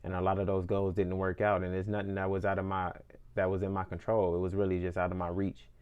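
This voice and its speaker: A young man in his twenties speaks in a very low, fairly monotone voice, thinking aloud about his ambitions. He circles back over the same phrases and restarts his sentences as he goes. The sound is a little muffled and lo-fi. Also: fast talker, smooth. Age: 20s. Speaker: independent musician. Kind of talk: reflective personal monologue.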